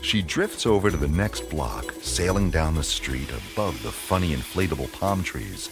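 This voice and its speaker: A man narrating a scripted radio drama as a storyteller, with deliberate phrasing. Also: a bass voice, animated. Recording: loud music under the voice.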